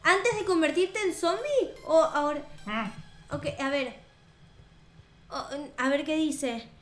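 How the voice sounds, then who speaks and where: A young woman with a Rioplatense Spanish accent, hosting a gaming video and improvising roleplay in an expressive, sweet, childlike way. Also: high voice, takes it slowly. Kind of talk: improvised in-character gameplay roleplay. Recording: roomy echo, music behind. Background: background music.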